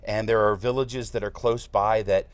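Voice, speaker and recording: A man, an actor and interview guest, casually explaining and often pausing mid-sentence. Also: on the low side, fairly even intonation, clear, resonant tone, dry recording. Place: TV studio.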